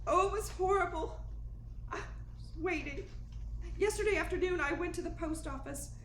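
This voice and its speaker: A woman's voice: extremely high pitch, normal speed, fairly bright tone. A woman playing a fretful, genteel young client in an old-style radio melodrama, hesitant, anxious and polite. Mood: distraught. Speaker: actor in a radio play. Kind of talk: 1940s radio melodrama acting. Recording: echoing room with faint noise.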